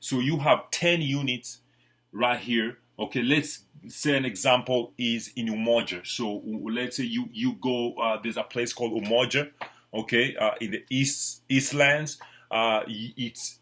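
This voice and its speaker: A man's voice: medium pitch, fairly even intonation, unhurried, a bit bright. A man from Kenya with a Kenyan accent, a real estate agent giving an informal explanatory presentation in a repetitive, emphatic way with frequent check-in words.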